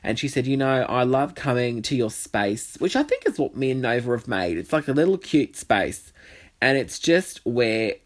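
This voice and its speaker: A young man with a nasal voice, hosting a casual solo podcast with cheeky, sweary chatter. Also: normal speed. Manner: chatty, cheeky and swearing, with lively pitch swings. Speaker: podcast host. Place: bedroom.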